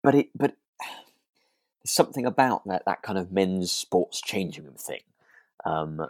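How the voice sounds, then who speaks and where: A man with a very low voice talks casually as a podcast co-host. His phrasing is tentative and rambling, with frequent circling back. The sound is very dry and close, free of room echo. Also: expressive, measured pace, darkish tone. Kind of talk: casual discussion of a radio drama.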